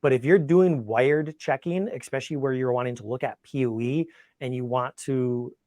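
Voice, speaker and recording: A man's voice: medium pitch, normal speed, darkish tone, no room sound, studio quality. A man presenting an informal technical webinar and taking questions, talking conversationally and thinking aloud as he goes. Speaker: product demonstrator and webinar presenter.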